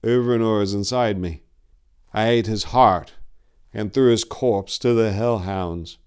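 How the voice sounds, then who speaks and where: A man's voice: fairly low pitch, unhurried, darkish tone, clean tone, natural voice. A man voicing a ghastly, newly turned vampire in a horror story, savouring each phrase with gloating menace. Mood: sinister gloating.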